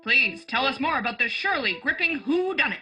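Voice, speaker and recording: A woman playing a polished, brisk announcer and sponsor pitchwoman on an old-time radio show. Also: expressive, heavily acted. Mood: bright salesmanship. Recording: loud music behind the voice.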